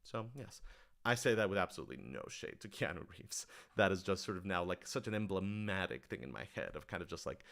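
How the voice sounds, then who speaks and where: Man, low voice, casual livestream Q&A, thoughtful, self-qualifying, animated explainer. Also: a little fast, vocal fry. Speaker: writer-director answering audience questions.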